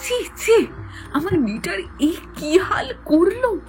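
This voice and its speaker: A distraught village mother in an audio drama. Her voice is extremely high and swoops as she wails and laments, with music behind. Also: very animated, takes it slowly, a little mellow, exaggerated voice. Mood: distraught wailing. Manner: wailing, lamenting.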